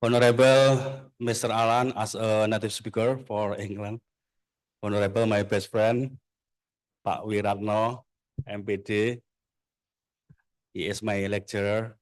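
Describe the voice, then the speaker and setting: A man with an Indonesian accent giving a formal welcome speech at an event, in halting English with long pauses between phrases. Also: on the low side, little pitch movement. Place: event hall.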